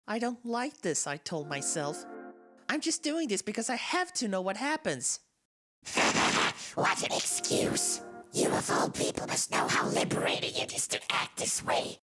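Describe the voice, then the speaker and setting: Girl doing creepy bored flower creature from a game, confiding, swings wistful to menacing, lively pitch, music behind. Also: unhurried. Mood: menacing whisper. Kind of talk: dramatic monologue in a game fan dub. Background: game soundtrack music.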